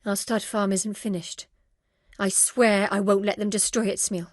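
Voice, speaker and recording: An actress playing a grieving, wary middle-aged widow who runs a medieval stud farm, speaking in an earnest, plain-spoken way in video game dialogue. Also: female voice, mid-range voice, level tone, moderate pace, dry recording, clean studio sound, lightly acted. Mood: guarded, troubled.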